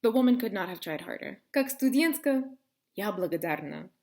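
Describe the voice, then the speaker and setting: A woman's voice: medium pitch, moderate pace. Woman speaking wry, Russian. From United States. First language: English. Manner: wry, deadpan asides, switching into English. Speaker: vlogger. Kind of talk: casual vlog monologue.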